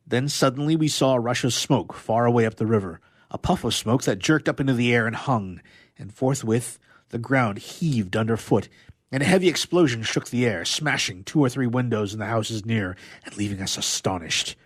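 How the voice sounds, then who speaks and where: A man reading an excerpt from a novel in a measured, expressive, dramatic narrating style. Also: medium pitch, breathy edge, no echo. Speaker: radio player reading a literary excerpt.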